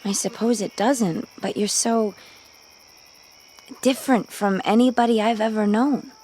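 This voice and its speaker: Woman playing a slow-speaking, sweet young girl. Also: on the high side, steady tone, a little mellow, slight background noise, lightly acted, poor audio. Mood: tender curiosity. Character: sweet, curious teenage girl falling in love. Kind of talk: radio drama acting.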